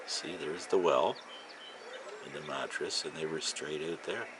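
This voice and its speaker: Low-pitched man rambling casually over background noise. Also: a little slow. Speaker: amateur vlogger and ham radio hobbyist. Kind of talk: casual rambling vlog commentary.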